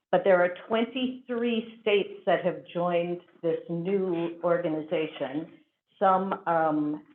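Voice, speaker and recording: A woman's voice: lowish voice, slight background noise. A woman chairing a school board meeting, running through procedure with frequent fillers. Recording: tinny phone-like audio.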